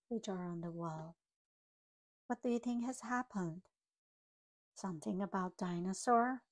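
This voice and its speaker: A woman reading a picture book aloud to young children, in simple, deliberate phrases as a storytime host. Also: medium pitch, darkish tone.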